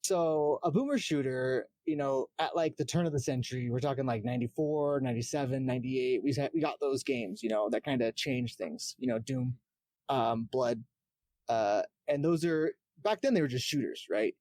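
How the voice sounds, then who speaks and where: A man talks casually as a guest on a gaming podcast, with a medium-pitched voice. He explains things in a rambling, conversational way, and the sound is dry. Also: normal speed, mellow, no room sound. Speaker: podcast guest, game streamer.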